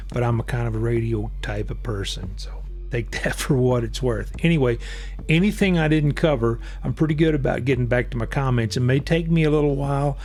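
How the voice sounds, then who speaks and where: Male gear-review host, average pitch, plain-spoken and casual, light music underneath. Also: darkish tone. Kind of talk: casual product review talk.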